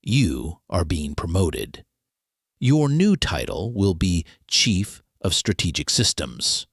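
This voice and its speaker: A deep-voiced official played by a man in a mystery audio drama, issuing formal orders with grave authority. His delivery is slow and deliberate, with pitch that moves freely. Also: big pitch swings, husky, no echo, clean studio sound, exaggerated voice. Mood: stern, formal.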